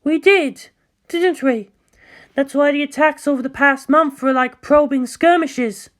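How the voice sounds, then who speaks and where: An anxious, analytical woman of science, as a narrator acts her, pitched high. She explains with tense hesitation and careful technical wording, in dramatic audiobook style. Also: a little slow. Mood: dismayed. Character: fearful, analytical female scientist. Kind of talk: dramatic audiobook character acting.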